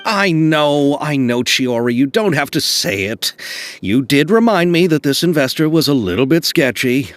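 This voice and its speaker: A man's voice: on the high side, animated, normal speed, dry recording, lightly acted. A man playing an earnest, flustered young film director in a video game, polite and a little hesitant. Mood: defensive. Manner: polite, hesitant, nervously apologetic.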